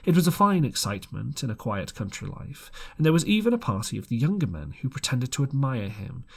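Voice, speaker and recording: A man narrating a classic adventure audiobook in an expressive, dramatic storytelling style, now and then stumbling over a word. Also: medium pitch, fairly quick, creaky, husky.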